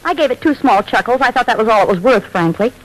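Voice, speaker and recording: Animated, wry sitcom wife played by an actress. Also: female voice, fairly high pitch, fast, natural voice. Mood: wry, chatty. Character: sensible, wry wife and lady of the house. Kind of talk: 1940s radio sitcom acting. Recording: noisy vintage radio recording.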